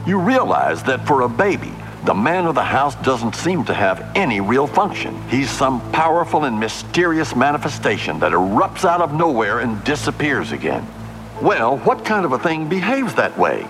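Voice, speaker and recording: Deep-voiced authoritative man, lively delivery. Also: moderate pace, music in the background. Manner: authoritative, declaiming. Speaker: group founder and leader. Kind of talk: archival recorded talk.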